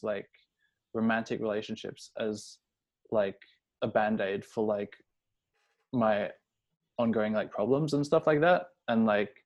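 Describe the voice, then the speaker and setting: A man's voice: on the low side, dull audio. A young adult man talking casually and personally, hesitant and rambling, leaning heavily on filler words. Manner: hesitant, rambling, heavy use of "like".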